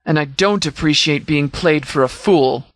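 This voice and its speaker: An actor playing a stern, calculating sheriff who commands a post-apocalyptic militia in a video game, speaking in a formal, measured, authoritative way. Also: male voice, on the high side, a bit bright, no room sound, studio quality, lightly acted. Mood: disapproving, stern. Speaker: sheriff and faction leader. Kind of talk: video game dialogue, briefing.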